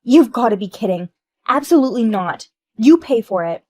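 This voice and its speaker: Woman doing a whiny entitled wife, high shrill voice, loud protests, dry close sound. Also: lively intonation, unhurried, no room sound. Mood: indignant whining. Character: shrill entitled young wife Emma, blaming others.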